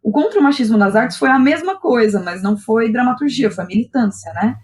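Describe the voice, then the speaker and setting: A woman's voice: on the high side, lively intonation, a little fast, a little mellow. A Brazilian woman, a podcast guest, telling personal stories in a candid, informal way with plenty of slang and tag questions. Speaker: guest, playwright and director. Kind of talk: casual personal storytelling in a podcast interview.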